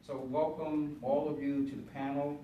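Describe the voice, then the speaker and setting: A man's voice: fairly high pitch, little pitch movement, normal speed, phone-quality sound. Older man moderating in an echoey room. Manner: hesitant, filler-heavy, with restarts. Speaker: moderator, university teacher. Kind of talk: panel moderation. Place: panel room with audience. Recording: echoey room, far from mic, noisy.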